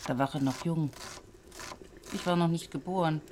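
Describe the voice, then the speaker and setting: An actress playing an elderly widowed village seamstress in a German radio drama, gentle and dry, in standard German. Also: female voice, low-pitched, moderate pace, noisy background, close to an everyday voice. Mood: calm, matter-of-fact.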